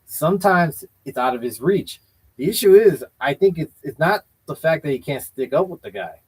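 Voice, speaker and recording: A man's voice: fairly high pitch, lively intonation, moderate pace, husky, low-quality recording. Blunt, opinionated male podcast host. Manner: blunt, opinionated, hedges with "I feel like". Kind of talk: casual wrestling chat show.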